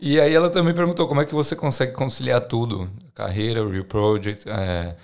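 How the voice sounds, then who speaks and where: Podcast host, woman, unusually deep pitch, relaxed interviewer who relays listener questions. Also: fairly quick. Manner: encouraging, reads out listener questions. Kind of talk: casual conversational interview.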